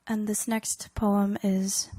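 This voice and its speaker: A woman reading her own poetry aloud in a deliberate, measured way, in fragmented phrases with weighted pauses. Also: mid-range voice, level tone, mellow, dry recording. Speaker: poet reading own work.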